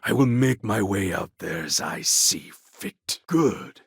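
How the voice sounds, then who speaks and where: An actor playing a proud, warlike clone of a Mongol conqueror in a video game, with a gravelly, husky voice and a deliberate, grandly formal delivery. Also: male voice, lowish voice, lively intonation, dry recording, studio quality, exaggerated voice. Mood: stern, resolute. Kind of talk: video game character acting.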